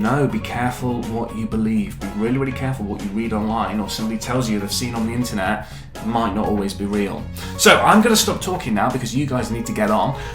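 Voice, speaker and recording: A man, an author and teacher, chatting informally to children and repeating words for emphasis. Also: medium pitch. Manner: chatty, emphatic repetition for young listeners. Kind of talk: informal video message addressed to children. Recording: roomy echo, music behind.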